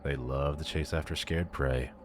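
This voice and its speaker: Man voicing a very deep, haughty demon lord. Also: steady tone, moderate pace, noisy background. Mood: lofty, instructive. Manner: pompous, condescending, theatrical. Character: haughty, powerful male demon lord, bored and amused. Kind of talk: ASMR audio roleplay acting.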